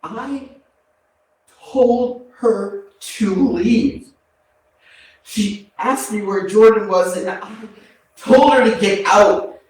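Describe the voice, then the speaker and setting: A man's voice: high-pitched, extremely slow, long pauses. Man acting a remorseful prisoner, distant and echoey. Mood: grim, haunted. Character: remorseful male prisoner confessing to killing his family. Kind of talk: staged play reading, dramatic monologue. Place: arts center stage, indoors, recorded from afar. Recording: very echoey hall, distant mic, background noise.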